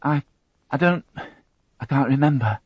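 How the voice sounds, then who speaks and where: A man voicing a frightened young woman who witnessed a crime, tearful and halting, repeating her words as she talks. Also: mid-range voice, husky, no echo, lo-fi sound, voice pushed up. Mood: shaken.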